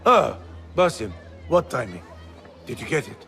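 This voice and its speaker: Gravelly, bossy male crime boss. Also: medium pitch, sing-song, unhurried, sharp tone, soft background music, some chatter behind, heavily acted. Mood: businesslike. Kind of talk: video game drama acting.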